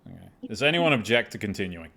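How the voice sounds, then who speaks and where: A man's voice: on the low side, big pitch swings, fast, sharp tone. A man hosting a live stream, chatting casually with a group. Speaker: stream host.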